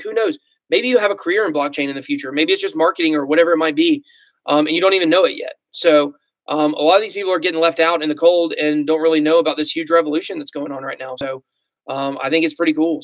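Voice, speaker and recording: A man's voice: on the high side, a bit bright, no echo, low-quality recording. A man in his thirties with a Southern US accent, chatting casually on a livestream as host, rambling and self-deprecating, with lots of ums and likes. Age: 30s. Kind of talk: casual livestream chat reading comments.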